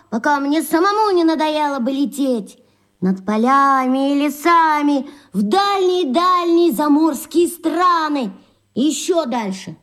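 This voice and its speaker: High-voiced dreamy schoolboy. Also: female voice. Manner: musing, drawn-out inner monologue. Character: dreamy schoolboy Vitka, hearty eater, musing to himself. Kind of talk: children's radio play acting.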